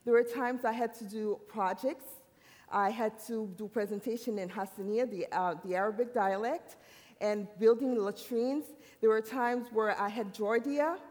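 A woman from Haiti giving a personal faith testimony at a university worship service, earnest and halting, often restarting her sentences. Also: a little high, fairly even intonation, a little mellow. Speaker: worship speaker. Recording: long hall reverb.

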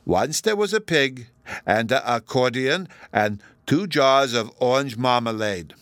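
A man voicing an eager, innocent little boy in a storybook, with artless, drawn-out childish speech. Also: mid-range voice, expressive, fairly bright tone, no echo, pitched above the performer's own voice. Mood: storytelling.

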